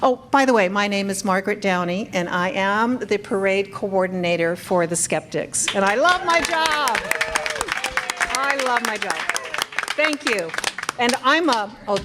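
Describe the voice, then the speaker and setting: A woman's voice: medium pitch, expressive, measured pace, a loud crowd, heavy background noise. A woman hosting a convention panel as parade coordinator, cheerful and chatty, laughing often. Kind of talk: upbeat live-stream hosting. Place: convention event room.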